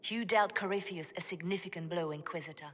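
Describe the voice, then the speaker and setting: An actress playing a composed, secretive spymaster advisor in a video game, measured and serious, with long hall reverb. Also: female voice, lowish voice, fairly bright tone.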